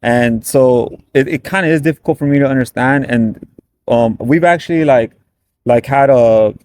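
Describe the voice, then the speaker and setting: A man's voice: mid-range voice, normal speed, a little mellow, slight background noise. A man, a band member and native English speaker, talking at length in a casual interview, rambling and correcting himself. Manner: rambling, chatty, self-correcting.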